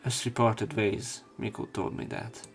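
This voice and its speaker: A man narrating a visual novel playthrough, reading story text and dialogue aloud with some halting pauses. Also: lowish voice, fairly even intonation. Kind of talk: scripted narration and character line reading. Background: game music, keyboard typing.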